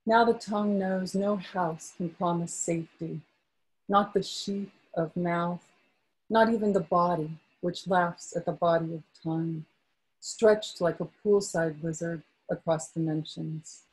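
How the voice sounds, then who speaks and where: A woman poet reads aloud in a slow, soft-spoken way, chatting casually between poems. Her voice is dark and mellow, a little husky, and sits slightly low. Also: steady tone, poor audio. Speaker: poet at a book launch. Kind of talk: poetry reading with casual asides.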